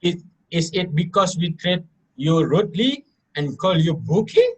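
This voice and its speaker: Give me a man as a high-voiced anxious student. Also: big pitch swings, a little slow, breathy edge, full character voice, rough recording. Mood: worried. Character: anxious young male student reasoning things out. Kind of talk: amateur dramatic reading.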